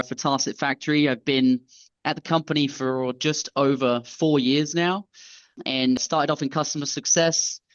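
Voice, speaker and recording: London man, sales guest, casual easygoing talk, muffled lo-fi line. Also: mid-range voice. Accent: London English. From England. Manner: easygoing, self-deprecating, with fillers. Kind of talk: casual interview chat.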